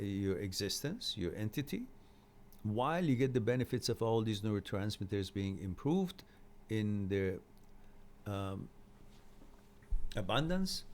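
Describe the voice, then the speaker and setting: A middle-aged man, a native speaker of Farsi, hosts a live Q&A in an informal lecturing style. His voice sits low and has a marked gravelly fry. He explains things haltingly, pausing as he goes. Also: a little mellow. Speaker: host of a live mental health Q&A. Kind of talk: informal live lecture, answering viewer messages.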